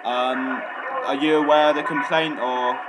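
Deadpan man, noisy line. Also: mid-range voice, a little slow. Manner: deadpan, persistent, provoking. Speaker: prank caller. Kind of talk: prank phone call.

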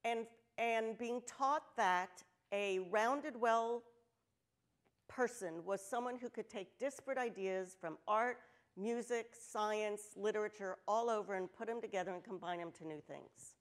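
An older woman from New Jersey giving a conference talk on an auditorium stage, candid and lively, with joking asides. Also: mid-range voice, unhurried. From New Jersey, United States. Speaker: conference speaker. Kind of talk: storytelling keynote talk. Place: stage of a large auditorium with audience.

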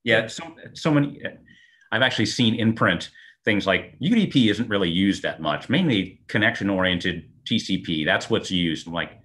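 A man's voice: on the low side, animated, moderate pace, a bit bright. A middle-aged man chatting as guest on a live video-call stream from a home study, easygoing and joking. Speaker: guest co-host. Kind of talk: casual chat on live stream. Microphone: video call webcam.

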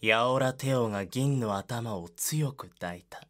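A man narrating an audio drama from a script in a measured, solemn manner. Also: medium pitch, a bit bright.

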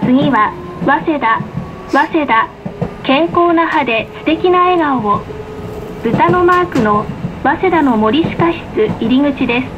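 High-pitched woman's tram announcement. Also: lively intonation, slow pace, dull audio. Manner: polite, carefully enunciated. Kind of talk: scripted recorded onboard announcement. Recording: noisy field recording of tram speaker. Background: tram running noise.

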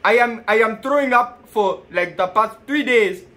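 A man in his twenties making a prank phone call with feigned seriousness, halting and addressing the listener as sir. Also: high voice, sharp tone. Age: 20s. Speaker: prank caller. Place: small plain room indoors.

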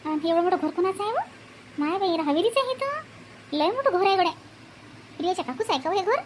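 A grumbling, bossy village woman from a comedy cartoon, with a Vidarbha Marathi accent. Her voice is extremely high and she complains at a quick clip, over faint background noise. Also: animated. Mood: muttering, nosy. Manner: scolding, complaining, colloquial.

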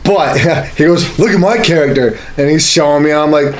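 Brash higher-voiced man swearing casually. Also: moderate pace, echoey room, a little hiss or hum. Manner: brash, profane. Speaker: podcast co-host. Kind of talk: casual banter and storytelling. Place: small home studio room. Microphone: desk boom microphone.

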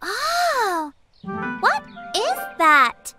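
A woman's voice: very high-pitched, sing-song, extremely slow, over music, heavily acted. A woman voicing a curious, naive little girl in a children's cartoon. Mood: curious, eager.

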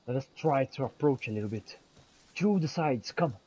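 A man's voice: medium pitch, lively intonation, normal speed, dark tone, a little hiss or hum. A man role-playing aloud as he plays a fantasy game, mixing archaic theatrical phrases with casual asides. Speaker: gameplay streamer role-playing. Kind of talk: in-character role-play commentary on a fantasy game.

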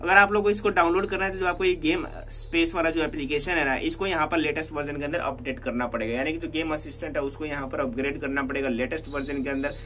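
A man's voice: fairly high pitch, steady tone, fast, hardly any pauses, fairly bright tone, a little hiss or hum. A man with an Indian accent narrating a casual hands-on tech tutorial, explaining repetitively and mixing in English words. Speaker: tech vlogger demonstrating a phone feature.

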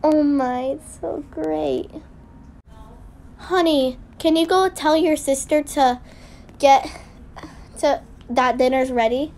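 A woman voicing a gentle, worried mother with a coaxing manner in an amateur game roleplay drama. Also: sits high, slow, creaky, long pauses, a little hiss or hum, full character voice. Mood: pleased.

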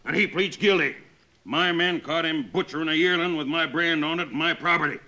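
An actor playing a blustering, bullying rancher in an old-time radio western, with a folksy, mocking, pushy drawl. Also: male voice, a little high, brisk, pushed and bright, highs cut off. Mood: impatient.

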